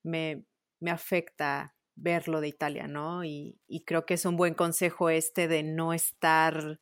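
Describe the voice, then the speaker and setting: A woman's voice: deep voice, level tone, slow, no room sound, studio quality. A woman, chatting candidly as an interviewer, agreeable and a little hesitant in how she phrases things. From Mexico or Italy. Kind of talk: casual, candid interview chat.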